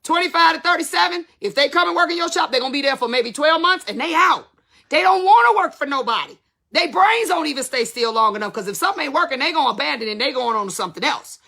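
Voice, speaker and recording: A woman's voice: sits high, animated, fast, creaky, bright, forceful tone. A woman of fifty giving blunt, emphatic salon business advice in a casual, colloquial way. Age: 50s. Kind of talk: casual talk to camera.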